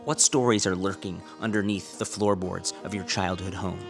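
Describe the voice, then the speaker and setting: A man's voice: deep voice, fairly even intonation, moderate pace, vocal fry, music in the background. A man hosting a podcast, musing earnestly through rhetorical questions before he introduces a guest. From United States. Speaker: podcast host and musician. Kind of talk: reflective monologue and interview.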